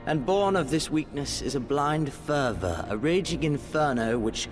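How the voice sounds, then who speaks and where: An actor playing a cold, menacing imperial overlord in a video game, speaking in measured, formal, archaic phrases. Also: male voice, a little high, expressive, music in the background. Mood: cold contempt. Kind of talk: video game cutscene acting.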